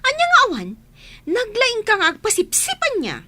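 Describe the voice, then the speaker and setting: A woman's voice: very high voice, wildly swooping pitch, moderate pace, sharp tone, no echo, exaggerated voice. A woman with an Ilocano accent playing a sassy, resentful office worker in a radio comedy drama. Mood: accusing.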